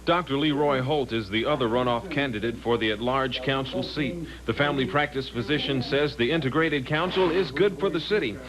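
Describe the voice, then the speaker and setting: A man's voice: medium pitch, poor audio. A man narrating a scripted local television news report as a reporter. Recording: 1980s TV broadcast with background din.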